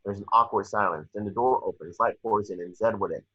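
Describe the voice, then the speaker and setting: A man reading screenplay action lines aloud in a somewhat halting way, as narrator of an amateur table read. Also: lowish voice, a bit bright, breathy edge, lo-fi sound. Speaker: reader of action descriptions.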